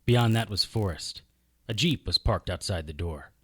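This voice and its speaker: A tough, sardonic male private eye, played by an actor in a hard-boiled audio drama. His delivery is deadpan and unflappable yet moves widely in pitch, recorded close and dry. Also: moderate pace, breathy edge, no room sound, heavily acted. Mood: grim narration. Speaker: narrator and lead actor. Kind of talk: hard-boiled detective audio drama acting with first-person narration.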